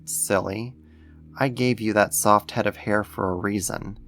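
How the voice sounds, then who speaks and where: A man playing a doting alien creator who soothes a human pet, affectionate and gently possessive, in an ASMR roleplay. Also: lowish voice, fairly even intonation, measured pace, soft background music. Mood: tender, soothing.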